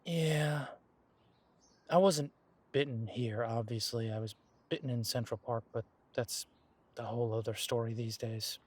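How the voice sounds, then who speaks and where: A young man voicing an easygoing werewolf man in an audio roleplay, talking to the listener in a relaxed, reminiscing way and chuckling often. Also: medium pitch, a little slow, husky. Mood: reflective.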